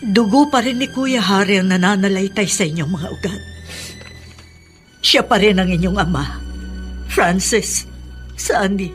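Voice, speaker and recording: A Filipino woman playing a forgiving middle-aged spinster aunt in a radio drama, earnest and gently persuasive. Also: mid-range voice, a little slow, pauses often, faint music behind, natural voice. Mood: earnest, pleading.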